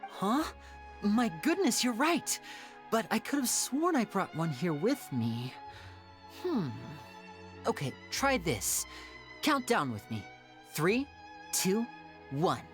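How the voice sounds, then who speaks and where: A woman's voice: mid-range voice, very animated, slow, long pauses. A woman playing a suave, playful young male stage magician in a video game, speaking politely and theatrically with a teasing, tender streak. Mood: playful showman. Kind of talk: scripted video game dialogue acting. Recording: music behind the voice. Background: game music.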